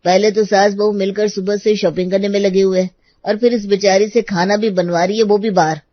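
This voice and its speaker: A woman voicing a gossiping male onlooker in an animated moral story, mocking and disapproving. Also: medium pitch, brisk, no echo, highs cut off, lightly acted.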